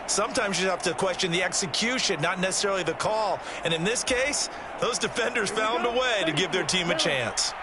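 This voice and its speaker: An actor playing an opinionated American football color analyst in a sports video game, explaining plays in a conversational way. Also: male voice, high voice, fairly quick, background noise, lightly acted. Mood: analytical, assured.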